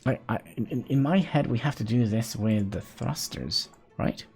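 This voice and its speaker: A man's voice: mid-range voice, expressive, normal speed, darkish tone, faint music behind. A man from Norway, chatting casually to his live-stream viewers while he plays, laughing often. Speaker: game streamer.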